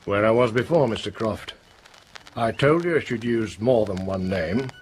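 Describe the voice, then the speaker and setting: An actor playing a grave, probing police inspector in a radio drama, speaking bluntly and deliberately. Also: male voice, on the low side, rough recording. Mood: probing, firm. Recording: music under the voice.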